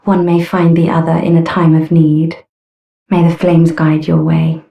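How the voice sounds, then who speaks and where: Slow, echoing, courteous lady knight. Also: low-pitched, completely flat delivery, vocal fry, dull audio. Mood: calm, courteous. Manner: formal, polite, gentle. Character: courteous, earnest female knight on a grim quest. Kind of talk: fantasy game voice acting. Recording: roomy echo, slightly distant.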